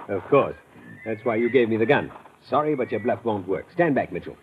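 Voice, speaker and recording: Through clear noise on a dull old radio recording comes a man playing a smug villain with a mid-pitched, animated voice. He gloats with mock courtesy and deliberate menace, in vintage radio thriller style. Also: lightly acted. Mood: menacing, smug. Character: smug, menacing male traitor villain holding a gun. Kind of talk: 1950s radio adventure acting.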